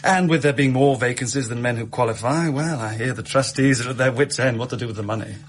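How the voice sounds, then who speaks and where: Actor as persuasive, crafty shop assistant. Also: male voice, mid-range voice, breathy edge, natural voice. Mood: enticing. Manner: coaxing, brisk, theatrical. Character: smooth-talking, persuasive, crafty pawnbroker's assistant. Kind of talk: British radio drama acting.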